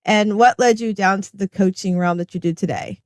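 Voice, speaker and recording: A woman hosts a podcast with an enthusiastic, effusive manner. Her voice sits at a middle pitch, and the recording is dry and close. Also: normal speed, a little mellow, no echo. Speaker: podcast host. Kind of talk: casual podcast interview. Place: Tampa area.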